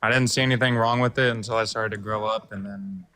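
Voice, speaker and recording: A man in his twenties calling in, speaking haltingly and softly with lots of fillers. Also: on the low side, steady tone, no echo. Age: 20s. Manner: halting, soft-spoken, many fillers. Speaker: caller. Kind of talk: casual call-in talk.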